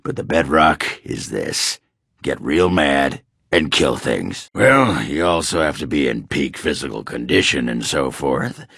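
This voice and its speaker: An actor playing a gruff, crude, boastful dwarf berserker in a fantasy video game, with a rough, husky voice and a blunt, swaggering manner. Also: male voice, rumbling low, expressive, a little slow, a bit bright, no room sound, clean studio sound, full character voice. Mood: boastful, instructive.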